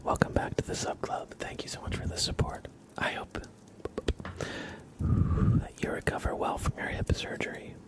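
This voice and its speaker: A man hosting an ASMR livestream, chatting gently and haltingly to viewers in a soft, whispery murmur. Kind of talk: ASMR, casual chat with stream viewers.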